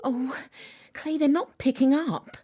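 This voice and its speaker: A woman's voice: sits high, slow, mellow. A woman acting in an audio play as a wry, frank, exasperated middle-aged mother. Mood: surprised, wry. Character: exasperated, frank mother, once a teenage mum.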